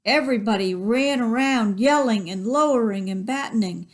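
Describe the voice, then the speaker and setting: A woman's voice: a little high, normal speed. A woman reading a children's story expressively, with chatty asides to young listeners about the pictures. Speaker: library storytime reader. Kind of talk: picture-book read-aloud with chatty asides.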